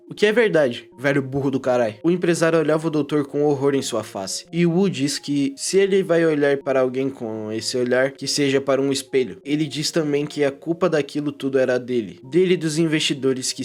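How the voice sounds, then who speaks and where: A man's voice: medium pitch, a little mellow. A man with a Brazilian accent narrating a scripted video essay in an informal, irreverent way. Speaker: YouTuber narrator and commentator. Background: prominent background music.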